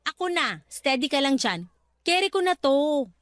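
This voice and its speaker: An actress playing a young, supportive and affectionate girlfriend in a Tagalog radio drama, with an expressive, coaxing delivery. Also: sits high, moderate pace, no echo, studio quality. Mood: encouraging.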